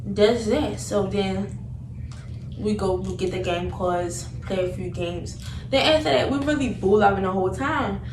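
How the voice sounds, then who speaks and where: A young woman tells a story in a casual, animated way. Her talk is slangy and loops back on itself as she retells things. She is a little far from the mic in an echoey room, with clear background noise. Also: mid-range voice, a little slow. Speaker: vlogger telling a story. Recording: roomy, distant mic, noticeable background noise.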